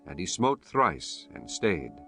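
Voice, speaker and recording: A man reading scripture as an audiobook narrator in a solemn, measured, formal manner. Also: low-pitched, very animated, creaky, a bit bright, soft background music.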